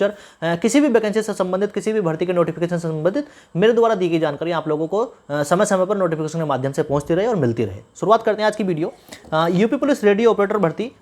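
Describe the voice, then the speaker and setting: A man talks at a quick clip, like a YouTuber briefing exam candidates. He explains things informally and gives instructive advice, often restating a phrase to drive it home. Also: high voice. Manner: instructive, repeats phrases for emphasis.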